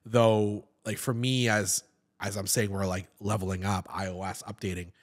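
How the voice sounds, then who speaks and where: Animated man talking with lots of restarts. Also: fairly low pitch, moderate pace. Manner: animated, gesturing, with many repeated words and restarts. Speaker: podcast guest, actor and comedian. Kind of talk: casual interview chat. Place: podcast studio set. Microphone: studio mic on a boom stand.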